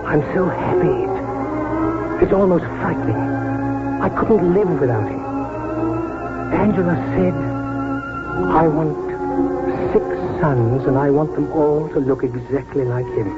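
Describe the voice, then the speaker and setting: Kindly, emphatic older country doctor, old-time radio. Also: male voice, on the high side, expressive, slow pace, long pauses. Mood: reassuring, earnest. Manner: earnest, emphatic, theatrical. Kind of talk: 1940s radio drama acting. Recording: muffled 1949 radio broadcast, music behind.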